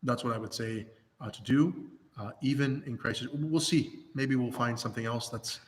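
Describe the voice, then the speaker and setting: A hoarse man speaking as a priest in a livestreamed pastoral talk, earnest, with many restarts and fillers. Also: medium pitch, normal speed, long reverb tail. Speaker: Orthodox priest.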